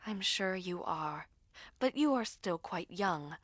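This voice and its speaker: A woman voicing an anxious, protective young princess in an audio story, earnest and cautioning, with breathy intensity. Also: on the low side, animated, slow pace, a bit bright, no room sound, exaggerated voice. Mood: earnest worry.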